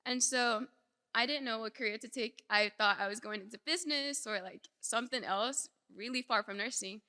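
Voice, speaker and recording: A woman's voice: fairly high pitch, moderate pace, sharp tone. A young woman from El Salvador who grew up in Houston, giving a conversational, informal personal speech as a student. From born in El Salvador, raised in Houston from age seven. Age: young adult. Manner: conversational, frequent "like". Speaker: nursing student speaker. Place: large indoor hall, speaking to an audience. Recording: echoey hall with long reverb.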